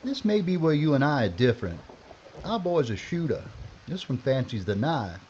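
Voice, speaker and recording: A man playing a gruff frontier marshal. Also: medium pitch, big pitch swings, moderate pace, a little mellow, slight background noise, lightly acted. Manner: gruff, sardonic. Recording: dull lo-fi audio.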